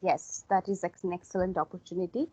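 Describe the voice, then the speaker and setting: A woman's voice: fairly low pitch, steady tone, a little fast, a little mellow, slightly rough, dry recording, poor audio. A young woman from Bangladesh, a graduate student, speaking earnestly on a video call and repeating her phrases. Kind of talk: casual academic discussion.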